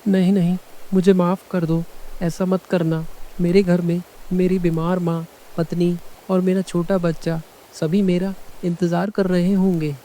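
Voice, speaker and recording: A man voicing a frightened, humble fisherman in an animated fairy tale, earnest and pleading. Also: high-pitched, unhurried, poor audio.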